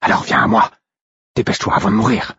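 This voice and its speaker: A man's voice: lowish voice, lively intonation, quick pace, pushed and bright, slightly rough, lo-fi sound, pitched above the performer's own voice, heavily acted. A man voicing an urgent, loyal wolf calling to his brother in an audiobook story. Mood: urgent, pleading. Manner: urgent, insistent.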